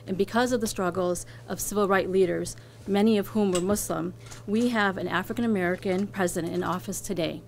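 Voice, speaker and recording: Woman reading a formal statement, faint background noise. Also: mid-range voice. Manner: formal, measured, rhetorical. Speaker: advocacy group communications director. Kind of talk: prepared public statement.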